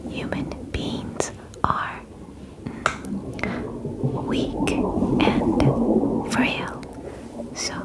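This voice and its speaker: A young woman voicing a gentle, clinical alien examiner in a breathy ASMR whisper, with halting, formal phrasing. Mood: soothing. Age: young adult. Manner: slow, halting, formal phrasing without contractions. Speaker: ASMR roleplay performer. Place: small bedroom, indoors. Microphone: close microphone.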